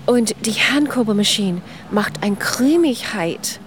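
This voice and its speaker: A woman's voice: a little high, lively intonation, slow pace, noisy background. A woman guest explaining in an interview, eager and talkative, with loose grammar and frequent restarts. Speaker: guest expert on ice cream history.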